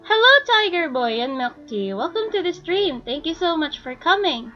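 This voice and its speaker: A woman's voice: sits high, very animated, normal speed, lo-fi sound. A woman chatting casually with viewers as she livestreams a game, reacting in short interjections. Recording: game music behind voice.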